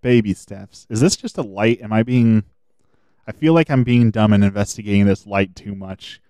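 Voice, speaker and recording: Guy streaming a game, lowish smooth voice gone a bit hoarse, rambles on, dry close mic. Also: moderate pace, mellow, dry recording. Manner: chatty, rambling, thinks aloud. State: slightly hoarse. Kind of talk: casual gameplay commentary.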